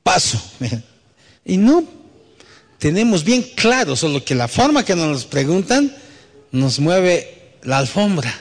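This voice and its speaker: A man's voice: sits high, big pitch swings, slow pace, pauses often. A man preaching as pastor to his church, speaking conversationally and often ending thoughts with a questioning tag. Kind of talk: sermon. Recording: long hall reverb.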